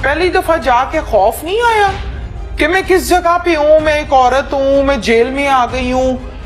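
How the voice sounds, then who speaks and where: A woman's voice: sits high, measured pace, faint music behind. A woman questioning someone, persistent, sarcastic and scolding. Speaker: interviewer. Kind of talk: probing interrogation.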